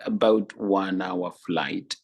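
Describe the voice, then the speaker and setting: A middle-aged man from Kenya, answering interview questions in a relaxed, explanatory way. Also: deep voice, slow, creaky, breathy edge. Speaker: podcast guest, runner.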